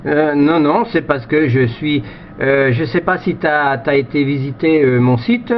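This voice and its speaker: A man's voice: on the high side, unhurried. Man rambling over muffled, noisy radio. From France. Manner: rambling, repetitive phrasing. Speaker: amateur radio operator. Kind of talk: casual radio contact chat.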